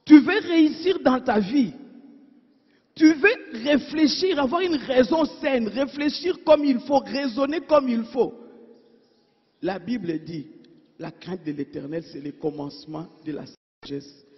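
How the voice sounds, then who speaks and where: Woman preacher, husky and high, rhetorical questions, loud then hushed; muffled church hall echo. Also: expressive, slow, long pauses. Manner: asks the congregation rhetorical questions; swings between emphatic and hushed. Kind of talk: sermon. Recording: dull sound in a reverberant church hall.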